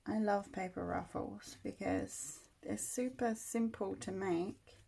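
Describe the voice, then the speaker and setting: A woman getting over a cold, her voice still croaky, chatting casually as she works on a journal at her craft table. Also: medium pitch, fairly even intonation, slow, dark tone. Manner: chatty, thinking aloud while working. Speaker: crafter demonstrating journal making.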